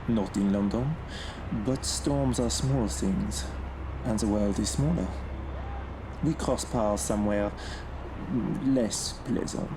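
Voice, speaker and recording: An actor playing a suave, menacing villain with a French accent and an ominously polite manner in an audio drama. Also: male voice, on the low side. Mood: sly menace. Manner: unhurried, silky, ominously polite. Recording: clear background ambient noise.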